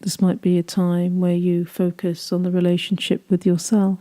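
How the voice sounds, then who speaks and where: A woman leading a guided meditation, speaking gently in soothing, unhurried phrases that trail off softly. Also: on the low side, completely flat delivery.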